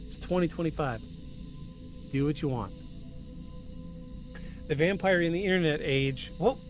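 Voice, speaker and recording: Higher-voiced man podcasting over music. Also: unhurried. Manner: effusive, repeats himself for emphasis. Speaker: podcast host. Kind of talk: live-streamed paranormal podcast, scripted narration mixed with casual asides. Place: at home.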